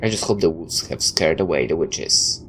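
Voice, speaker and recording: A man reading a first-person story aloud in a dramatic, slightly halting, expressive way. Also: very deep voice, a little hiss or hum. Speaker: video game player reading a visual novel aloud.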